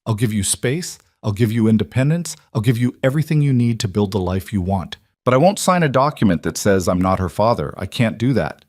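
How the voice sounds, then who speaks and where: A man voices a remorseful, controlling mafia boss in an audiobook romance. He is a low-voiced husband, measured and insistent, in a dry recording. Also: animated, a little mellow, dry recording. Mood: measured, resolute.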